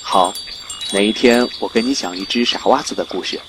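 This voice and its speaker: A man playing a quiet, protective young shoe in a fairy-tale radio drama, with a gentle, subdued delivery. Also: fairly low pitch, brisk, background noise, lightly acted. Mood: tender. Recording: clear music behind voice.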